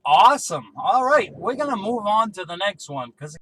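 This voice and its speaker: A man's voice: sits high, very animated, normal speed, a bit bright, a little hiss or hum, poor audio. A man making casual radio calls, repeating call signs and numbers as a hobbyist would. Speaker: CB radio hobbyist running a range test.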